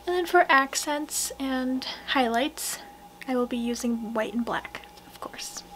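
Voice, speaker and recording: A young woman doing a soft-spoken ASMR roleplay as a portrait painter, hushed and gentle, at times slipping into a whisper. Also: high voice, unhurried, a little hiss or hum, rough recording. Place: small wood-panelled room indoors.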